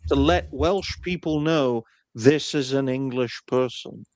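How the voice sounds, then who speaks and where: A man's voice: mid-range voice, measured pace, darkish tone. A man chatting on a podcast panel, sarcastic and crude as he comments. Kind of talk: casual chat.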